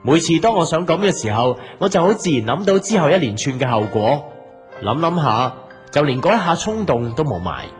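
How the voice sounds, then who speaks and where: A man's voice: fairly high pitch, very animated, brisk. A man acting a patient, restrained big brother in an audio drama, speaking in a subdued, reflective way. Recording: soft guitar music behind.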